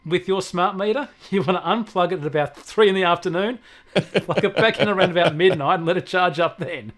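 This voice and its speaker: Aussie guy on a tech show, voice a bit high, plain spoken, says what he thinks. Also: a little fast, a bit bright. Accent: Australian. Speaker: technology show co-host and expert. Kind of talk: casual explanatory tech talk.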